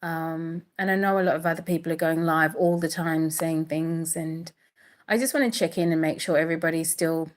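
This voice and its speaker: A woman raised in Australia with a British accent, talking casually and haltingly on a livestream from an apartment, full of pauses and fillers. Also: low-pitched. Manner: hesitant, many pauses and fillers. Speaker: livestream host. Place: indoors in an apartment.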